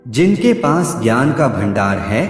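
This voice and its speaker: A man narrating scripted motivational quotes in measured, aphoristic short phrases. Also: mid-range voice, big pitch swings. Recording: echoey hall reverb with background music. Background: music.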